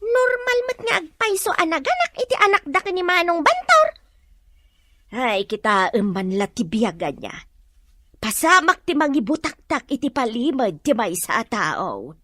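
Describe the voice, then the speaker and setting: Animated, high-voiced gossipy village woman played by an actress. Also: normal speed, no echo, studio quality, full character voice. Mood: scandalized. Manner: exclaiming, questioning, gossipy. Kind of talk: Filipino Ilocano audio drama acting.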